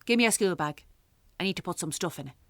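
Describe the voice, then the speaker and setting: A woman with an Irish accent playing a weary, sharp-tongued working-class mother in a radio drama, wry and blunt. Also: on the low side, animated, fast talker, lightly acted. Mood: brisk. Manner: wry, blunt, earthy talk. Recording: clearly noisy, traffic and ambience behind.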